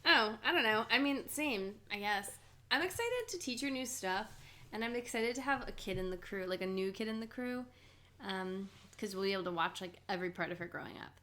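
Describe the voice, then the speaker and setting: Bright-voiced young woman chatting. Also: medium pitch, animated, brisk, a little hiss or hum. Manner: chatty, laughs often, lots of "like". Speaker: podcast co-host. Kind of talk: casual chat between sisters.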